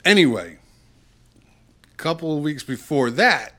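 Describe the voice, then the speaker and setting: A man's voice: on the high side, measured pace. A man chatting casually as a podcast guest, telling rambling anecdotes and acting out the dialogue as he goes. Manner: rambling, animated retelling with quoted dialogue.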